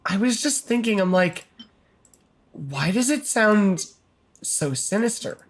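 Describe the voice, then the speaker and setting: A man's voice: sits high, expressive, slow. A man co-hosting a live game stream, chatting casually and working out his thoughts aloud with many fillers. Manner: musing, theorizing, hedging with fillers. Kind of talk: casual live gameplay chat.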